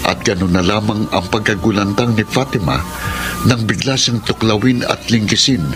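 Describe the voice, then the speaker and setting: Man acting a low-voiced romantic young man, radio drama. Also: normal speed, lightly acted. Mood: troubled, confiding. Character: earnest, romantic young man writing of his love troubles. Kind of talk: Filipino radio drama acting and letter narration. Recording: radio drama with music underneath.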